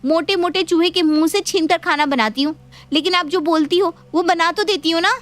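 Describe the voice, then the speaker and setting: A sassy young daughter-in-law from a cartoon tale , as a woman narrator puts her on. The voice sits high, that of an overworked home cook who answers back. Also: normal speed, a bit bright. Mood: indignant.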